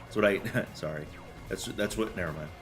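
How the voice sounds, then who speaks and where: A man's voice: low-pitched, fairly quick, soft background music. A young man doing casual, slangy game commentary and chatting with viewers in a joking, rambling way. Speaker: game streamer. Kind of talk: casual gameplay commentary and chat.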